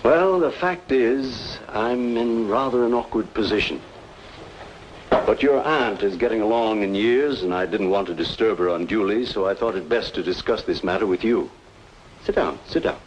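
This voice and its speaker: Actor as a low-voiced, grave formal doctor. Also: male voice, lightly acted. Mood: grave, cautioning. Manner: formal, deliberate, hesitant. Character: grave, hesitant male doctor, formal and insistent. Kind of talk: old-time radio noir drama acting. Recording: dull, noisy old radio recording.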